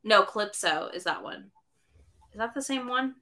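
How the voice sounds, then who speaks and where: A woman's voice: medium pitch, animated, moderate pace, sharp tone, a little hiss or hum. A woman hosting a podcast, chatting casually about a book and thinking aloud as she goes. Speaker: podcast host.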